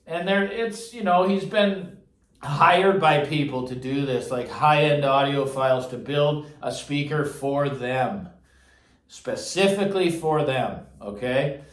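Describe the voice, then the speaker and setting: An echoey listening room with the microphone some way off carries a man's slightly high voice. He talks casually and without a script, like a reviewer addressing his regular viewers. His delivery is enthusiastic and emphatic, often repeating a phrase to drive it home. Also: slow. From Canada. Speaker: audio gear reviewer. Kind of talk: casual unscripted vlog review. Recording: roomy echo, distant microphone.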